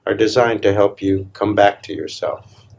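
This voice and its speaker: A man leading a live guided meditation, speaking calmly and deliberately in short phrases with long pauses between them. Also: fairly low pitch, vocal fry. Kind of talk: live guided meditation, slow hushed instruction.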